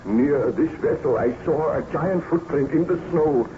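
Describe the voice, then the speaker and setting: A man's voice: a little high, measured pace, close to an everyday voice. A man playing a tormented, guilt-ridden scientist nobleman in formal, theatrical old-time radio drama style. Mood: urgent, haunted. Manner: grave, melodramatic, formal theatrical diction. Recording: dull, noisy old radio recording.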